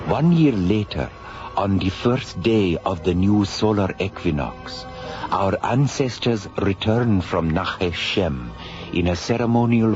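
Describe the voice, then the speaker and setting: A solemn, ancient alien envoy recounts things with formal gravity in a radio play. The voice is fairly low and unhurried, with music behind it. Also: male voice, a little mellow. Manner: formal, grave, storytelling. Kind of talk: radio drama acting. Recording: dull radio recording with music underneath.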